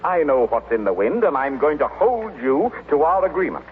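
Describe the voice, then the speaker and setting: A man's voice: on the high side, big pitch swings, moderate pace, faint music behind. An actor playing a pompous, shrewd businessman in an old-time radio comedy, formal and blustery with much hemming. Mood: smug, insistent. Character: pompous, shrewd businessman, self-satisfied and suspicious. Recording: noisy, tinny old-time radio recording.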